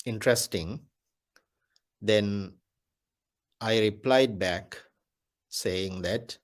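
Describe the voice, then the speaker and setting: A Buddhist monk giving a Dhamma talk, speaking deliberately and repeating his key phrases. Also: mid-range voice.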